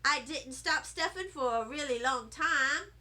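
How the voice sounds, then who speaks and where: A woman reading a children's story aloud in an expressive, animated and deliberate way. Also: high-pitched. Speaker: storybook reader.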